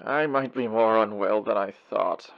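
A man voicing a pompous, prickly, old-fashioned demon gentleman with formal, theatrical delivery in a cartoon comic dub. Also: lowish voice, moderate pace, creaky, lo-fi sound. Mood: dry. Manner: pompous, formal, clipped indignation.

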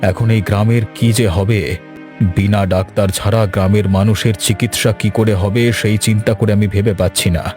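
A man voicing a grave, fretful village gentleman in a scripted cartoon drama. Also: on the low side, steady tone, moderate pace, music in the background, close to an everyday voice. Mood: concerned. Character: worried village elder gentleman, addressed respectfully.

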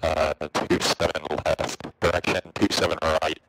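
A man making deadpan radio calls as a player in an online flight game. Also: a bass voice, a little slow, no room sound. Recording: faintly noisy game mic.

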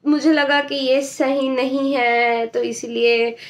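A woman's voice: high-pitched, level tone, slow. A woman in her twenties from India, chatting casually as a vlogger in an informal way, mixing in English. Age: 20s. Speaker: vlogger and gaming streamer. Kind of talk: casual vlog chat mixing Hindi and English. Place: small rooms at home. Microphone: phone held at arm's length.

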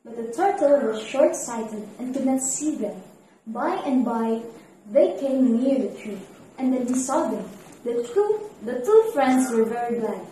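A woman's voice: a little high, animated, measured pace, warm tone. A woman narrating a scripted children's fable, reading it aloud as a storyteller. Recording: echoey room, distant mic, background noise.

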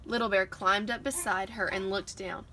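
Bright-voiced woman reading a children's story. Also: medium pitch, fairly even intonation, moderate pace. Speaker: children's book reader. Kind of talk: expressive read-aloud storytelling. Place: outdoors on a porch swing. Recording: noisy outdoor home recording.

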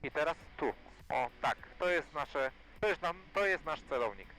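A man working as a flight instructor, coaching calmly in an encouraging, repetitive way inside a small aircraft. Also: a little high, moderate pace, a little hiss or hum, rough recording. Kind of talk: hands-on coaching during flight. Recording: music behind, aircraft cabin audio.

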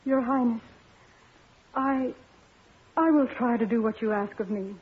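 An actress playing a humble young waitress in love, earnest and hesitant, in 1950s radio melodrama style. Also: female voice, on the high side, a little slow, natural voice. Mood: distressed. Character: young waitress sweetheart, tender, humble, self-sacrificing. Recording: tinny old radio broadcast, music behind.

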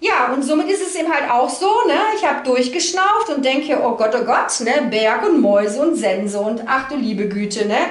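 A woman's voice: high-pitched, expressive, moderate pace, few pauses. A middle-aged woman giving an informal card reading to viewers, conversational and reassuring, often checking in with little tag questions. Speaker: card reader, host. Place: indoor room, at a card table. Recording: echoey room sound.

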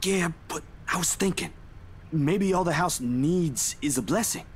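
An actor playing a gentle, supportive young man in a visual novel, mild and folksy in his talk. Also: a little high, measured pace, a little hiss or hum, natural voice. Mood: musing.

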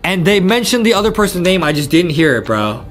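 A man in his twenties giving excited gameplay commentary, eagerly repeating his phrases. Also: sits high, a little fast. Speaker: gaming video host. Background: faint game music.

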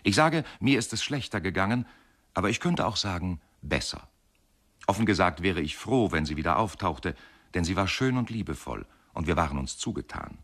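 An actor narrating a radio play as a wry, courteous older husband, measured and dryly ironic. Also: male voice, low-pitched, sing-song, a bit bright, exaggerated voice. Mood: wry, reminiscing. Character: wry, courteous older husband reminiscing about his marriage. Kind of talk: radio play monologue, first-person narration.

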